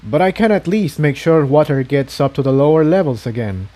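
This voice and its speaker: A man's voice: fairly high pitch, animated, brisk, dark tone, lightly acted. A man voicing an earnest, self-sacrificing male unicorn pony in a storybook reading, talking in a practical, reassuring way.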